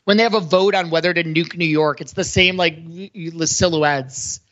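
A man co-hosting a podcast, discussing film casually and slipping into animated retellings. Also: a little high, moderate pace, highs cut off. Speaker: podcast host.

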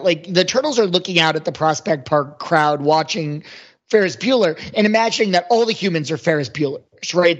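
A man on a discussion panel talking casually about film, thinking aloud and rephrasing as he goes. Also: a little high. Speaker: podcast panelist. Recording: dull, lo-fi voice track.

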